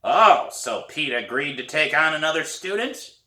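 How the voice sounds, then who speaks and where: A raspy, stern old woman from a storybook, acted out by a man, scolds and needles in a slow, drawn-out way. Her hoarse voice is pushed forward and sharp, in a room with noticeable echo. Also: on the high side, big pitch swings, recorded from a distance, voice pushed up. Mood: needling.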